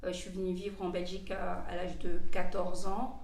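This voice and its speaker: A woman's voice: on the low side, little pitch movement, normal speed, gravelly, a little mellow, slight background noise. Woman from Gabon, echoey and distant. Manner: searching, self-correcting. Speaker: discussion moderator. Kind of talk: casual group discussion. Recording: echoey room, distant mic.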